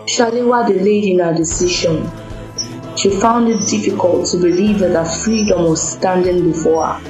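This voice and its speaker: A woman narrating an audio drama in a plain, steady storytelling manner. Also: lowish voice, moderate pace, muffled recording. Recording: echoey room with music.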